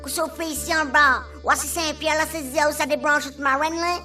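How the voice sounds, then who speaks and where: A woman's voice: sits high, steady tone, a little fast, pushed and bright, soft background music. A woman playing an indignant, boastful local with a Réunion Creole accent in a comic radio serial.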